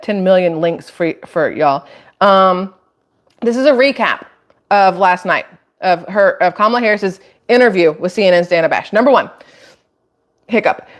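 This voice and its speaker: Casting: American woman, medium pitch, chatty and rambling live stream commentator, informal and emphatic. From Atlanta, United States. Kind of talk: casual political commentary to viewers.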